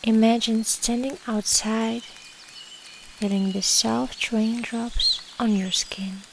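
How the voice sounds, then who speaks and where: A woman guiding a meditation in a soft, soothing, measured way with long pauses and breathy endings. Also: a little high. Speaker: meditation guide. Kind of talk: slow guided meditation. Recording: steady rain noise behind the voice. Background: rain and nature ambience.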